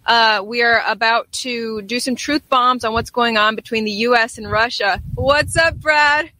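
A woman's voice: a little high, fairly even intonation, unhurried, sharp tone, low-quality recording. A woman hosting a casual live chat show in an upbeat, informal way. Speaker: talk show host.

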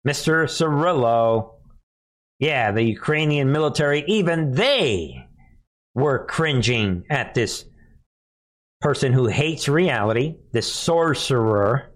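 A man's voice: medium pitch, lively intonation, slow. An American man hosting live political commentary, speaking emphatically and derisively and repeating phrases for effect. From United States. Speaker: news commentary show host.

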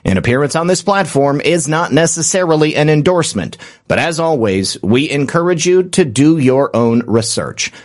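A man's voice: fairly high pitch, expressive, normal speed. A man announcing a scripted disclaimer before a program. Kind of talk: scripted narration.

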